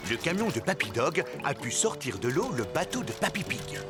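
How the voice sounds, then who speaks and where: A man's voice: on the high side, sing-song, moderate pace, over loud music. A man narrating a French children's cartoon as a cheerful, plain-spoken storyteller.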